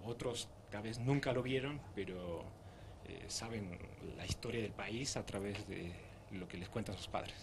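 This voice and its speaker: A man's voice: fairly low pitch, measured pace, a little hiss or hum. A young man answering interview questions in a plain-spoken way with many fillers. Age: young adult. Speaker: interviewee, youth group member. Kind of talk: group interview.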